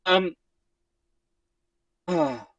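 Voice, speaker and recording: A man's voice: high-pitched, huge pitch swings, extremely slow, vocal fry, recorded from a distance, dull audio, a crowd in the background. A young man co-hosting a podcast, joking playfully in casual chat. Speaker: podcast co-host.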